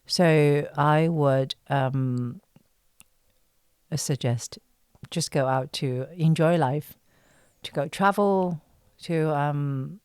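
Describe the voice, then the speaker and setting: A woman's voice: low voice, extremely slow, a little mellow, smooth, dry recording, clean studio sound. A woman from China speaking as an interview guest, an actress answering casually in hesitant, thoughtful phrases.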